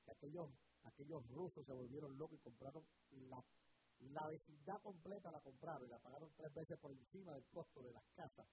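Middle-aged man, radio pastor, preaches with fervor and repetition, a touch high-voiced, over audible hiss. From Puerto Rico. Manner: fervent preaching with repetitions and drawn-out emphasis. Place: radio studio. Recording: hissy broadcast recording.

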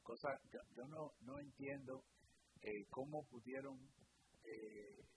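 A man's voice: a little high, wildly swooping pitch, takes it slowly, creaky, noisy background. A man from Puerto Rico with a Puerto Rican accent, a pastor and bishop speaking as a radio guest, emphatic and repeating words for stress. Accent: Puerto Rican Spanish. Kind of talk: preaching-style radio talk.

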